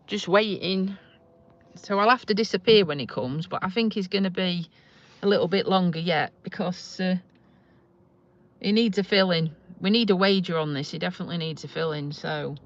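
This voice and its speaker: A woman's voice: lowish voice, moderate pace, highs cut off. A woman from Lincolnshire with a slight Yorkshire accent, chatting casually and rambling to viewers on a live stream from a parked car. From Lincolnshire, England. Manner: chatty, rambling, self-deprecating. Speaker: vlogger doing a live stream.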